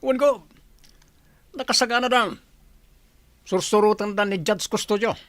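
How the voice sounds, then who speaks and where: An actor playing a crafty, blustery general in a radio drama, sly and theatrical, with an Ilocano accent. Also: male voice, high voice, moderate pace, a bit bright, no echo. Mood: sly, amused. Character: blustery male general, crafty crony of politicians.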